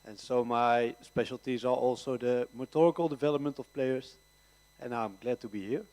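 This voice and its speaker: A man's voice: medium pitch, steady tone, normal speed, darkish tone. A man in his twenties, a conditioning coach, introducing himself briefly at a press conference. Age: 20s.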